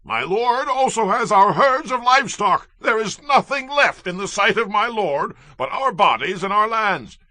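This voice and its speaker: A man's voice: high voice, expressive, moderate pace, hardly any pauses, bright, forceful tone, no echo. An actor playing a starving Egyptian man who pleads desperately, urgent and declamatory, in a dramatized scripture reading. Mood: pleading.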